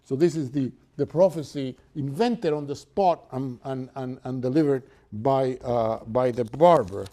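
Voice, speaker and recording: An older man with a Cuban accent giving a university lecture at a podium, halting often with fillers and restarts. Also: mid-range voice, dark tone. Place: lecture hall in front of a chalkboard.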